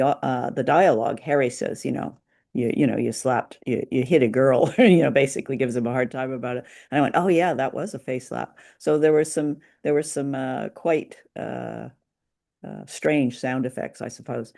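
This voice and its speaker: A woman's voice: rumbling low, moderate pace, gravelly, dark tone. A woman from Canada co-hosting a podcast, talking through a review in a thoughtful, chatty way. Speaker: podcast co-host. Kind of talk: conversational discussion and review.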